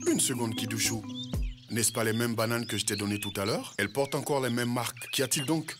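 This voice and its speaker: A man's voice: medium pitch, brisk, close to an everyday voice. A man voicing an older shopkeeper in a dubbed educational cartoon, kindly but wary, with a questioning manner. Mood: suspicious. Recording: loud music behind the voice.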